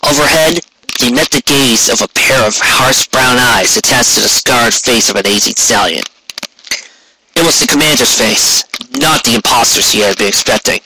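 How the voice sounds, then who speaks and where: A man's voice: mid-range voice, moderate pace. Man narrating a story, noisy recording. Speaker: fan fiction audiobook reader.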